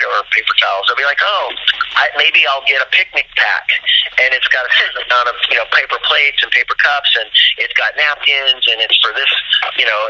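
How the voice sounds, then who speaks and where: A muffled phone conference line with faint noise carries an American man with a very bright, forceful voice of medium pitch. He explains business in an informal, conversational pitch, using examples and rhetorical questions as he leads the call. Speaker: company CEO leading a training call. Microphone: telephone.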